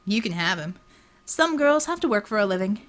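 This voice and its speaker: A woman's voice: medium pitch, big pitch swings, fairly quick, a bit bright. A woman voicing a determined, wry female detective in an audiobook, direct and probing with a teasing edge.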